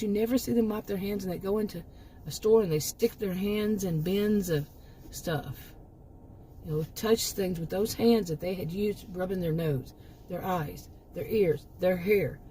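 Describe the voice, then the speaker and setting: A woman's voice: medium pitch, dark tone, a little hiss or hum, low-quality recording. A middle-aged woman hosting her own channel, giving a rambling, emphatic opinion monologue with many restarts and asides while she is losing her voice. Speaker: commentary channel host.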